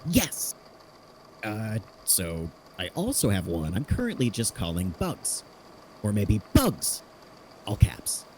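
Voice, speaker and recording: A man in a podfic audio drama playing an eager, sheepish inventor who rambles haltingly as he explains his grim work. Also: fairly low pitch, wildly swooping pitch, a little mellow, slight background noise, full character voice. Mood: eager, explaining. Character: eager rambling inventor cheerfully cataloguing fears, sheepish about deaths.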